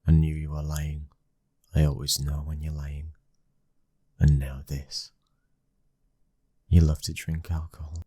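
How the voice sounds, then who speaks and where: A British man acting a protective, devoted young mafia husband in an intimate ASMR boyfriend roleplay, his breathy voice slipping into whispers. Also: completely flat delivery, measured pace, long pauses, mellow, no room sound, exaggerated voice. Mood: puzzled, probing. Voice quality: very deep, breathy, husky.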